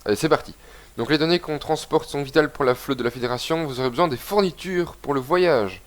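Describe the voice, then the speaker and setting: A man's voice: fairly high pitch, fast talker. A young man with a cold giving rambling, casual commentary while playing a video game and reading its text aloud. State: has a cold, congested. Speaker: gaming video host. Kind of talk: casual let's-play commentary.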